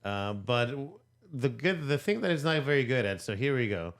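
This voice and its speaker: A man's voice: medium pitch, big pitch swings, normal speed, fairly bright tone. A man from Peru co-hosting a podcast, chatting casually and rambling with lots of fillers and restarts. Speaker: podcast co-host. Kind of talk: casual chat.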